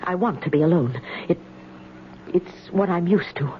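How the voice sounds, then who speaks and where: A woman's voice: fairly low pitch, lightly acted. An actress playing a timid, lonely old woman who frets and repeats herself in a radio mystery. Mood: uneasy, pleading. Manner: fretful, halting, repeating words. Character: timid, frightened, lonely old spinster in her house. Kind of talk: 1970s radio mystery acting. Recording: noisy, dull 1970s radio broadcast recording.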